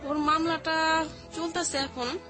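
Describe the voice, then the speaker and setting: Bangladeshi woman guest explaining stuff in everyday repetitive phrasing, high voice, music underneath. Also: steady tone, takes it slowly. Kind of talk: personal account in an interview. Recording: music playing behind the voice. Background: background music.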